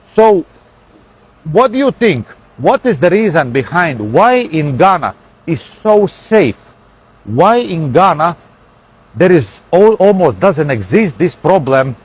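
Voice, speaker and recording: Man, husky, animated pitch, casual vlog chat.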